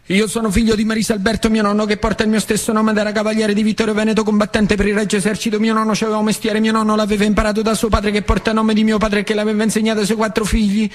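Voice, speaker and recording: A man's voice: high voice, monotone, very fast, few pauses. A man with a central Italian accent performing a colloquial theatrical monologue, repeating phrases for dramatic effect. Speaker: stage actor and storyteller. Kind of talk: theatrical monologue, first-person storytelling.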